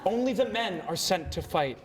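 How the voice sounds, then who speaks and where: An actor playing a sensitive, poetic, nervous teenage boy in a staged musical, speaking haltingly and earnestly. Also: high voice, animated, slight background noise. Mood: defiant. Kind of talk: staged musical theatre acting. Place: live staged reading before an audience. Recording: echoey live stage hall, music behind.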